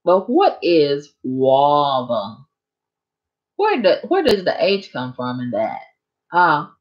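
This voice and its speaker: A woman chatting casually on a live stream to her viewers, rambling and a bit hoarse from talking so long. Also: low voice, wildly swooping pitch, slow, lo-fi sound.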